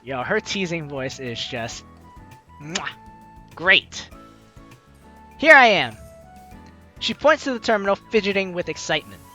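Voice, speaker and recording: A woman's voice: fairly low pitch, very animated, measured pace, long pauses, pushed and bright. A woman doing a playful, slangy let's play, reading dialogue aloud and commenting casually. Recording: faint music behind the voice.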